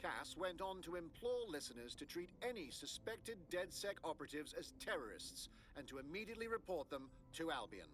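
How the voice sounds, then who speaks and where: Higher-pitched formal newsreader. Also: male voice, very animated, a little fast, fairly bright tone, slight background noise. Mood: composed. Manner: formal, clipped reporting. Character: male news presenter, formal and composed. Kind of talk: scripted news reading in a game.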